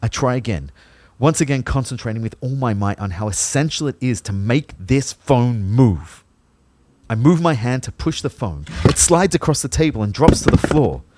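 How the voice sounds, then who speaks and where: A man's voice: medium pitch, animated, moderate pace, darkish tone, slight background noise, close to an everyday voice. A man playing an anxious, lovelorn dead young man, narrating his limbo introspectively in the first person for an audio drama. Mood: intent. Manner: introspective, intent.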